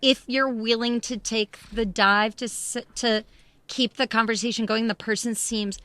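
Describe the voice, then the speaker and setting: A woman's voice: a little high, steady tone, unhurried, a bit bright, dry recording. Encouraging woman, dry close sound. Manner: emphatic, encouraging, acts out little quoted dialogues. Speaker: podcast guest, expat living in Spain. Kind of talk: animated conversational advice.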